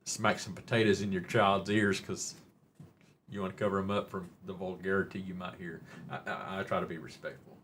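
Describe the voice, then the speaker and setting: A middle-aged man with a drawl chats loosely through his live stream. He rambles, jokes and swears now and then, in a fairly low voice. A faint hiss of noise sits under him. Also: steady tone, brisk, fairly bright tone. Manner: rambling, joking, mildly profane. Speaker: livestream host. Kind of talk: casual live-stream chat.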